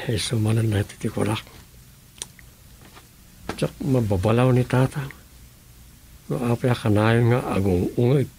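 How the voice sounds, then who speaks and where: Casting: male actor as weary barrio official, fry-laden conversational delivery, radio serial, slight background noise. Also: medium pitch. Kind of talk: radio drama dialogue in Ilocano.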